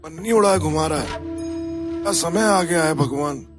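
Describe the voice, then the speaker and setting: Casting: male actor as disapproving village onlooker, high male voice, scolding, flute music behind. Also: a little slow, lightly acted. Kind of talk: Hindi animated moral story dialogue.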